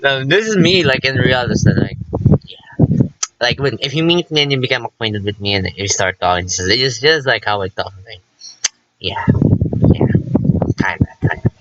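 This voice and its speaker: A man's voice: medium pitch, animated, normal speed, long pauses, background noise. A man doing a casual let's play, reading and chatting in a rambling way, stumbling over his words. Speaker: let's player. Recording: dull lo-fi mic, loud music behind. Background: loud music.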